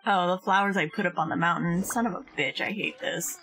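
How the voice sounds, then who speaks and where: A woman's voice: on the low side, fairly quick, creaky, a bit bright, slight background noise, low-quality recording. A young woman streaming a game, talking casually in a wry, informal, sweary way. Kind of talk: casual gameplay chat. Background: faint game music.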